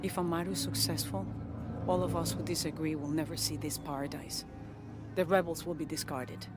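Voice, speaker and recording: A woman's voice: deep voice, steady tone, a little fast, over loud music, lightly acted. A woman playing a commanding, dignified rebel queen in a video game, stern and composed. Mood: grave, composed. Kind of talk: video game dialogue acting.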